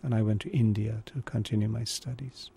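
Gravelly middle-aged man born in Iran. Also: fairly low pitch, steady tone, dark tone, slight background noise. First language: Kurdish. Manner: thoughtful, halting storyteller. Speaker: guest. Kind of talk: conversational interview.

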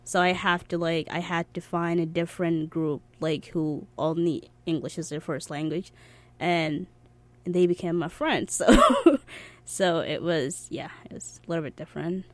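A woman's voice: on the low side, a little slow, no room sound. A young woman from Egypt whose first language is Arabic, chatting casually as an interview guest in an informal, giggly way peppered with "like". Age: young adult. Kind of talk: casual chat.

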